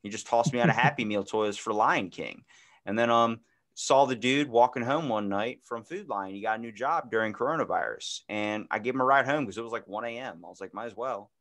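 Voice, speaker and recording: A man's voice: medium pitch, fairly quick. Young man swearing through casual stories. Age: 20s. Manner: animated, profane, slangy storyteller. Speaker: podcast host. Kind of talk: casual chat, storytelling. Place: indoor room, podcast video call.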